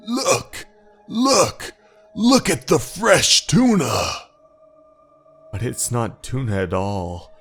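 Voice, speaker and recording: A man narrating a horror story in a slow, dramatic, brooding way. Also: on the low side, a little mellow.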